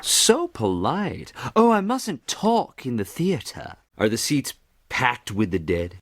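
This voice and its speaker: Flamboyant, gossipy trickster god, wildly swooping voice. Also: male voice, medium pitch, very animated, slow, dry recording. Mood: conspiratorial. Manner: flippant, showman-like, breaking the fourth wall. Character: flamboyant gossipy male Greek messenger god, cheerful liar. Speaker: guide to the underworld. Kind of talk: theatrical monologue to an audience.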